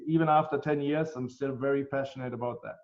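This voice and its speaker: A man with a German accent, answering interview questions in an earnest, measured way with frequent ums. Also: medium pitch, steady tone, darkish tone. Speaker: guest, healthcare tech executive. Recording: dull, lo-fi sound.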